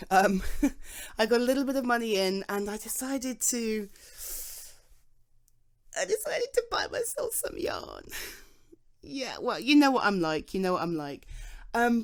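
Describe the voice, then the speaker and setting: A young British woman speaking to a friend in an intimate ASMR roleplay, chatty and reassuring, with frequent soft laughs. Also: mid-range voice. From Britain.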